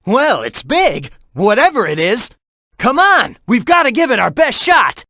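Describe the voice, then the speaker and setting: A brash young male hedgehog hero from a video game, with an animated, swooping delivery. He sounds upbeat, playful and sure of himself, urgent when he calls out, in a dry, close recording. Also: medium pitch, a little slow, no room sound, full character voice. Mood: encouraging, excited.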